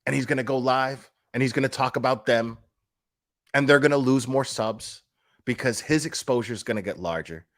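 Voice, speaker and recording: A man's voice: medium pitch, moderate pace. A man giving casual, opinionated commentary as a streamer, speaking in a blunt, emphatic, foul-mouthed manner.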